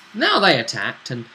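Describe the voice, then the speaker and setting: A man with a bright, forward voice pitched a little high recaps a tabletop fantasy session as game master. He tells it casually, like a story shared in banter. There is faint noise behind him. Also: rough recording. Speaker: game master and show host.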